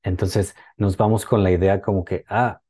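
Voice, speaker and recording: Deep, mellow man speaking gently. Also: little pitch movement, moderate pace, husky, no room sound. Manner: gentle, hesitant, many fillers and tag questions. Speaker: workshop speaker. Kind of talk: talk on ancestral healing.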